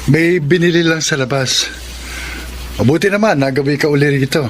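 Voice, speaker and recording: An actor playing a jealous older suitor in a radio drama, with a hesitant, probing manner. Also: male voice, on the high side, normal speed, slight background noise, natural voice. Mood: casual, probing. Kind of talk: Filipino radio drama acting.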